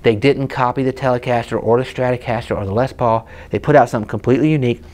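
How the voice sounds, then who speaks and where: A man's voice: medium pitch, steady tone, fast, a little mellow. A man in his thirties with a Southern US accent, casually telling stories and explaining a guitar in a shop. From South Carolina, USA. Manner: chatty storytelling, explaining gear hands-on. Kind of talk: casual gear demo chat. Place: guitar shop room with hanging guitars, indoors.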